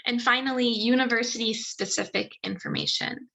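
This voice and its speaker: A woman's voice: a little high, huge pitch swings, moderate pace, gravelly, sharp tone, poor audio. A woman from a university admissions office giving a webinar talk over a video call, explaining things steadily with some "um" fillers. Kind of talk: webinar presentation.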